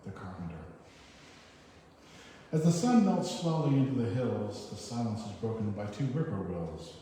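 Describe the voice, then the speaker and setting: Guy reading poems live, casual n halting, big echo, pretty noisy recording. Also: on the low side, lively intonation, mellow. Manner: informal, halting, self-deprecating between poems. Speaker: reader at a poetry event. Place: echoey hall at a live event. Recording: noisy, echoey hall, distant mic.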